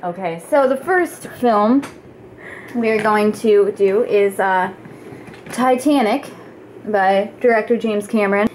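A woman voicing a calm, hesitant male hero teacher in an amateur puppet show, speaking patiently and haltingly. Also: medium pitch, slight background noise, natural voice, poor audio.

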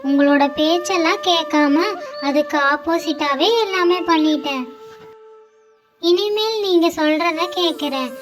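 Woman voicing a very high-pitched, eager little girl. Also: close to an everyday voice. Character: young spoiled playful girl, later sorry and earnest. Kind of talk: children's moral story cartoon dialogue. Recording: music under the voice.

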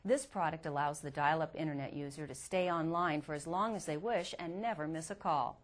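A woman's voice: deep voice, brisk. A woman reporter narrating a scripted promotional product report.

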